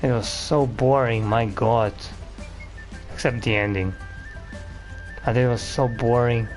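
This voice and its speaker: A man's voice: mid-range voice, steady tone, slow pace, soft background music, poor audio. A man giving casual game commentary as a streamer, blunt, profane and grumbling. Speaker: gaming streamer.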